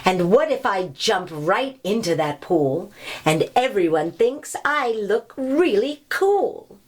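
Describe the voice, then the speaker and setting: A woman's voice: mid-range voice, sing-song, slow. A woman voicing a hopeful, upbeat young boy in a storybook read-aloud, asking rhyming questions with confidence.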